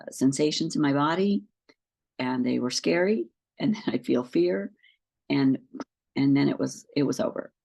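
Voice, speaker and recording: A woman coach with a head cold, sniffling a little, calmly explaining breathing and tracking exercises step by step. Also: a bass voice, gravelly, slightly rough. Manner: calm, patient, explains step by step with frequent ums. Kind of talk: instructional talk to camera.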